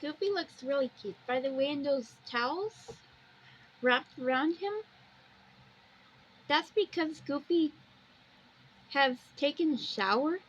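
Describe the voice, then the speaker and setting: A woman giving unscripted, halting commentary on pictures, rambling a little and repeating phrases. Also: high-pitched. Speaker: video host. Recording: dull lo-fi recording with background noise.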